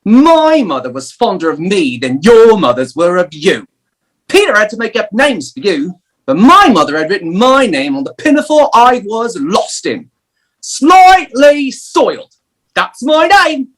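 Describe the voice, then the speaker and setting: A woman playing a boastful young boy in an audio play, with a cocky, drawn-out delivery. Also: medium pitch, big pitch swings. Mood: proud.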